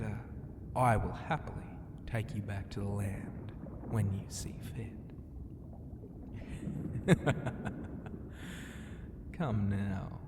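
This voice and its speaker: A mighty, flirtatious ocean god, played by a man in an ASMR roleplay. The voice is on the low side and very unhurried, teasing and grandiose, often dropping to a breathy whisper. It rings with long reverb over noisy ambience. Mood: coaxing, flirty.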